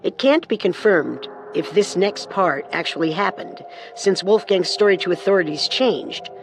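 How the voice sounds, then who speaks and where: A woman co-narrating a scripted true-crime story with measured, dramatic delivery. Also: fairly low pitch, expressive, over music.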